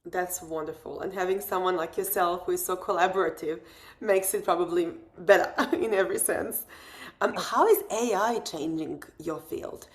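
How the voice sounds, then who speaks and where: A woman's voice: fairly low pitch, moderate pace. A woman hosting an interview podcast, asking questions in a friendly, encouraging way. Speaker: podcast host. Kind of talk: casual interview talk.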